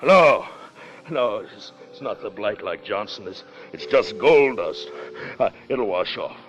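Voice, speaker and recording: Raving, halting gold-crazed treasure hunter. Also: male voice, fairly low pitch, expressive, a bit bright, highs cut off. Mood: panicked. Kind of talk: 1950s radio drama acting.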